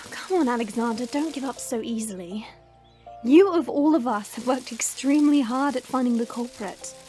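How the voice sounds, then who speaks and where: A woman playing a proud, teasing but caring fantasy woman in an audio drama, with a smug, drawn-out delivery. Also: fairly high pitch, dark tone, soft background music, lightly acted. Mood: soft encouraging. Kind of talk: audio roleplay acting.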